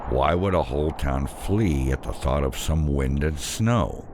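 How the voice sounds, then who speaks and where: Man, extremely low voice, scripted horror narration, ominous and deliberate, noisy ambient background. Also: darkish tone.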